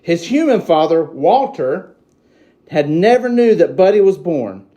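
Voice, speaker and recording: Man playing an excitable, high-pitched Christmas elf. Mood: cheerful, earnest. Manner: slow, theatrical, exclaiming. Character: excitable, childlike male Christmas elf reading a storybook. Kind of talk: children's storybook reading.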